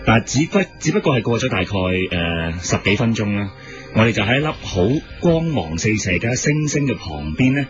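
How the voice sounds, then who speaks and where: A rambling UFO witness played by a man in a radio comedy, medium-pitched and halting. He repeats himself and draws his story out. The audio is dull, with music behind. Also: sing-song, lightly acted. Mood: slow recollection. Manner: halting, repetitive, drawn-out storytelling. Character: rambling, stammering male alien-abduction witness. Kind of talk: mock interview radio comedy.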